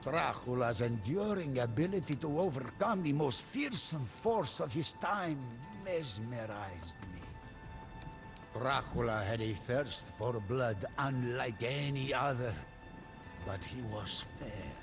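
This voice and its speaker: An actor playing a solemn storyteller who recounts a medieval warlord's tale in dramatic game cutscene narration. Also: male voice, medium pitch, expressive, slow. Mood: grave, reverent. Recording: music behind the voice.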